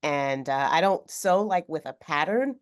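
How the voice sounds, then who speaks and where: A woman author speaking on a video call, a little hoarse from a cold, talking thoughtfully with some fillers. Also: low voice, animated, measured pace. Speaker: author guest. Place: home room with sewing machine. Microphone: video call webcam.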